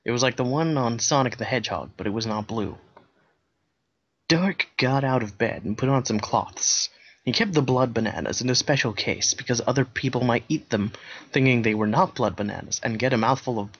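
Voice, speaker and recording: On a slightly muffled, lo-fi recording, a man reads a silly story aloud. He narrates deadpan at a middling pitch, slipping into casual banter. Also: gravelly. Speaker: co-reader, narrator. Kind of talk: comic fan-fiction reading with casual banter.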